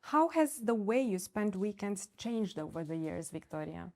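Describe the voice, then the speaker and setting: A woman acting as a speaking exam examiner, reading set questions in a measured, formal way. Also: medium pitch, very animated, darkish tone.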